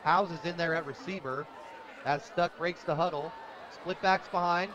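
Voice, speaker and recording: High-voiced American football play-by-play man, noisy broadcast. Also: steady tone, normal speed, dull audio, crowd noise. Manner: vivid present-tense calls in short clipped phrases. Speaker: radio play-by-play announcer. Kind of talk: live American football commentary. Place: outdoors at a football stadium. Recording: noisy outdoor stadium broadcast.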